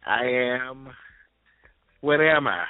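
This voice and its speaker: A man introducing himself on a talk show in a playful, theatrical, drawn-out way. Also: mid-range voice, dry recording. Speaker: panelist. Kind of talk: casual, playful self-introduction. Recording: dry narrow phone-like sound.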